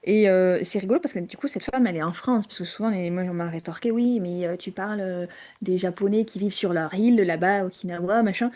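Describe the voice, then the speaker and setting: A woman co-hosting a casual podcast chat, hesitant, with many fillers and restarts and frequent quiet drops. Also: lowish voice, brisk. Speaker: podcast co-host.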